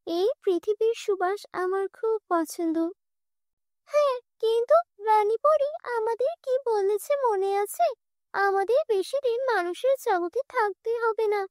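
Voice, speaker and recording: A woman's voice: very high-pitched, animated, unhurried, a little mellow, dry recording, clean studio sound. A woman voicing a graceful fairy in a children's cartoon, questioning with kindly authority. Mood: serene. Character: graceful female fairy, commanding yet kindly.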